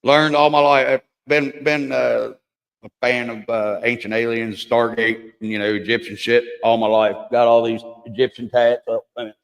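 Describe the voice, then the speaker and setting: A man's voice: mid-range voice, level tone, normal speed, gravelly. Crude joking man in a reverberant room. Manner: crude, joking, rambling storyteller. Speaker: livestream host. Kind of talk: casual chat. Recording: long hall reverb.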